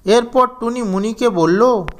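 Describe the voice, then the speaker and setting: Slow, high-pitched male storyteller. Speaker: narrator. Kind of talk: scripted children's story narration.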